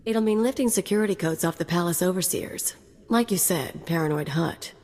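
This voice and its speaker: A woman's voice: lowish voice, a little fast, a little mellow, close to an everyday voice. An actress voicing a cocky, reckless female mercenary with a sardonic, flippant manner. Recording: game audio with long reverb.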